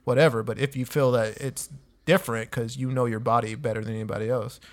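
A man's voice: mid-range voice, fairly even intonation, fast. Laid-back man giving advice on a podcast. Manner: laid-back, gives advice, says "man" and "dude" a lot. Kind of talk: casual podcast chat.

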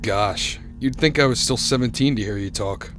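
Casting: male actor as haunted young driver, suspenseful radio-drama narrator, medium pitch, faint music beneath. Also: moderate pace, lightly acted. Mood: breezy, reassuring. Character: haunted young male motorist telling his eerie story.

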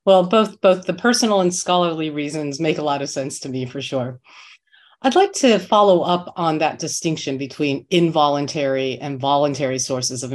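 A man hosting an interview, asking prepared questions in a measured, formal, bookish way. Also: on the high side. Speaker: podcast interviewer.

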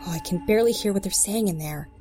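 A woman's voice: medium pitch, fast, music in the background, lightly acted. A woman playing a determined, take-charge agent in an audio drama, speaking with urgency. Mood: hushed tension. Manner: commanding, urgent.

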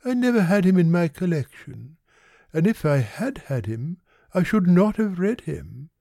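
A languid young fop from a classic storybook, played by a male reader, with a soft mellow tone and swooping intonation. He is courteous, affected and too indolent to exert himself. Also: sits high, very animated, a little slow, gravelly, no echo, studio quality, full character voice. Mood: languid, gallant. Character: languid, foppish, indolent young gentleman, politely bored. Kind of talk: dramatised classic novel reading.

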